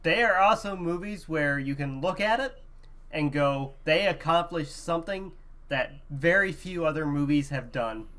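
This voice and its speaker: A man's voice: fairly high pitch, expressive, normal speed, pushed and bright. A man co-hosting a podcast in a casual, conversational style. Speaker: podcast co-host. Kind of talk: casual chat about movies and games.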